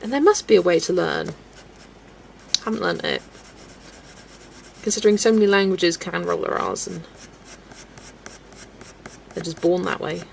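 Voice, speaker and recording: A young woman hosting a colouring livestream, chatting casually with viewers, relaxed and laughing often as she works. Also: lowish voice, unhurried, creaky, pauses often, a little hiss or hum, poor audio. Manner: relaxed and chatty, laughs often, talks to herself while working. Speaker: livestream host. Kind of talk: casual chat with a live chat while colouring.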